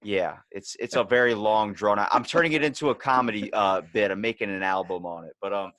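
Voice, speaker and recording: A young man with a slightly low voice hosts a casual comedy chat in a very dry-sounding space. He is irreverent and slips into quoted dialogue as he tells anecdotes. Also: a little fast, fairly bright tone, dry recording. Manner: irreverent, swears freely, acts out dialogue. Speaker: podcast host.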